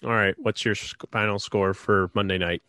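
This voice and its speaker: A man's voice: lowish voice, steady tone, moderate pace, sharp tone, no echo. A stuffed-up man hosting a casual sports podcast, rambling with lots of fillers. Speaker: podcast host.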